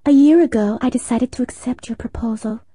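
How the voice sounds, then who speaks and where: A man voicing a poised, sharp-tongued young female film star in an audiobook, with quick, teasing retorts. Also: very high voice, no room sound, clean studio sound, pitched above the performer's own voice. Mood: hesitant. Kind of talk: audiobook character voice.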